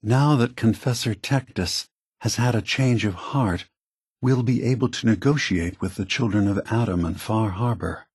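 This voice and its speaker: Husky low voice, solemn synthetic man, weary scheming leader, measured, deliberate, video game, close dry sound. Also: a little mellow, dry recording. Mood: relieved, grateful. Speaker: voice actor.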